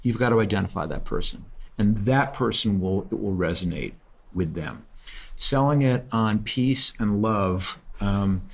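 Gravelly low-voiced man giving advice. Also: expressive, a little slow. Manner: direct, encouraging. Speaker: host, mentor. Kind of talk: advice in casual chat. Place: studio set, indoors. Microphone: studio mic on desk.

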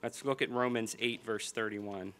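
A man in his forties with an American accent preaching a sermon and reading scripture at a lectern, slowing down and repeating key phrases for emphasis. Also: lowish voice, steady tone, fairly bright tone. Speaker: pastor. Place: church hall stage at a lectern. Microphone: small stand mic on the lectern.

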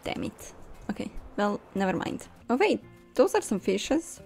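A young woman giving casual gameplay commentary, expressive and musing to herself. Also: medium pitch, a little slow, vocal fry. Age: young adult. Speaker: video game streamer. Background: soft game music.

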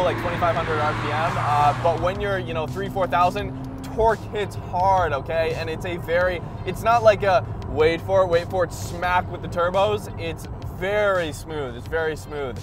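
A young man vlogging from the driver's seat of a moving sports car, talking excitedly and laughing between lines. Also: high voice, normal speed, few pauses, over music. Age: young adult. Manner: excitable, laughs often, says "like" and "ridiculous". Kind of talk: casual car review.

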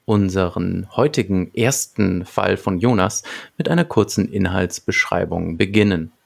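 A man in his thirties with a gravelly voice, presenting prepared material as a podcast co-host. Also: sits low, unhurried. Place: small book-lined room at home, evening. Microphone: large desk microphone close up, headphones.

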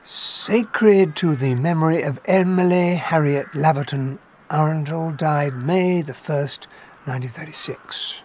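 An actor playing a genteel, affable English gentleman, a detective's companion, narrating and talking in a radio play. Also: a little high, a little slow, a little hiss or hum, lightly acted. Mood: reading solemnly.

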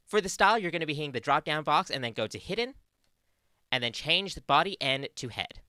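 A woman's voice: sits low, animated, brisk, bright, forceful tone, no room sound. A young adult woman with an Australian accent presenting a scripted tutorial into a close studio mic. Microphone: close studio mic on boom arm.

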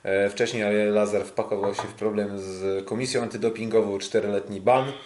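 A man hosting a casual sports podcast, talking in a hesitant, halting way. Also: on the low side, flat delivery, darkish tone. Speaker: podcast host. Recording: roomy, echoing sound.

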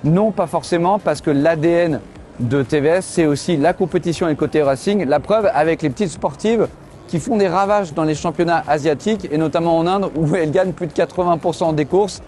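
A middle-aged man, a motorcycle journalist, walking a trade show and explaining the bikes in a lively way. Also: a little high, brisk, slight background noise. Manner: lively, explanatory, tosses in fillers. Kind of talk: trade show walkthrough and interviews. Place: busy indoor exhibition hall. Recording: background music.

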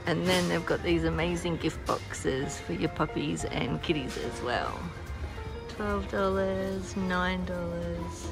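A woman's voice: fairly low pitch, takes it slowly, vocal fry, over music, poor audio. An Australian woman chatting casually as she films a shopping vlog in a large store, often laughing. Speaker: vlogger. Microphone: handheld phone camera.

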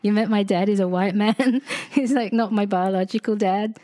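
A woman's voice: medium pitch, fairly even intonation, normal speed, warm tone, dry recording, clean studio sound. An Australian woman in her forties, talking casually as a podcast guest, chatty and rambling with many fillers and asides. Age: 40s. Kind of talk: casual conversational interview.

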